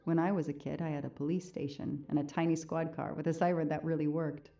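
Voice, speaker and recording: A woman's voice: low voice, little pitch movement, fast talker, creaky, dark tone, lo-fi sound, faint music behind. A woman playing a compassionate detective thinking aloud in a video game's inner monologue. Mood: reflective.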